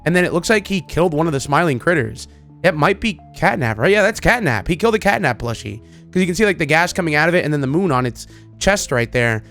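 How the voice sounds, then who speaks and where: A man with a slightly high voice talks as a gaming video creator. His commentary is casual and excited, wandering loosely from thought to thought. Music plays faintly behind him. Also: fast talker. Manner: informal, enthusiastic, rambling.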